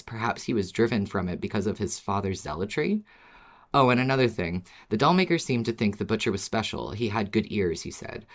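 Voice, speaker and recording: Podcast co-host, medium pitch, casual, conversational, agreeable delivery. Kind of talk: casual podcast chat and reading listener email.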